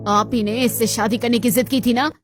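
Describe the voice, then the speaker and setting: A woman voices a wealthy, indignant mother-in-law in a melodramatic story cartoon. Her fairly high voice scolds and reproaches, with music underneath. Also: steady tone, fairly quick, close to an everyday voice. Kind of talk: Hindi moral-story cartoon dialogue.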